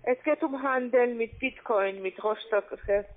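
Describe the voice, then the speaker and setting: A woman who is not a native speaker, giving a formal, halting telephone sales pitch. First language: not German. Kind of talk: sales pitch on a cold call. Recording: tinny, narrow phone line.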